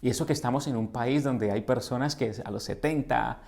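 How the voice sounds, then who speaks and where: A man's voice: mid-range voice, brisk, creaky, darkish tone. A middle-aged man from Colombia with a Colombian Spanish accent, preaching a sermon in a church in an earnest, questioning way that builds to emphatic repetitions. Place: church sanctuary.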